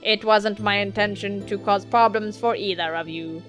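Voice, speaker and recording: A woman's voice: mid-range voice, fairly even intonation, normal speed, over music, pitched below the performer's own voice, lightly acted. Woman voicing a formal, matter-of-fact male android. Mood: flat, formal.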